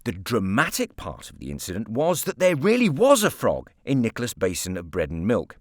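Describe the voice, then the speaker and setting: A British man narrating a story as an audiobook reader, expressive, measured and wryly articulate. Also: medium pitch, dry recording, studio quality.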